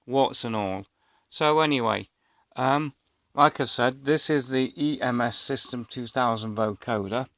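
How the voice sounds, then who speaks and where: A man's voice: medium pitch, a bit bright, smooth, no room sound, studio quality. A middle-aged man telling a personal story casually and unscripted, in a hesitant, rambling way. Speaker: vlogger.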